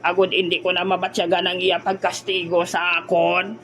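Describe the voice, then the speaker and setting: A man's voice: sits high, monotone, moderate pace, sharp tone, slight background noise. A man in a comedic audio drama playing a muddled, homesick simpleton who halts, stutters and repeats words. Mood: confused.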